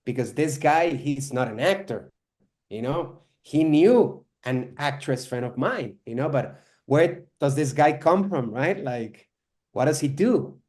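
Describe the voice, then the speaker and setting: A man's voice: fairly high pitch, expressive, a little slow, a little mellow. A young man born in Spain, a filmmaker, talking in a rambling way full of "you know" and "like" in an online interview. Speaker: filmmaker guest.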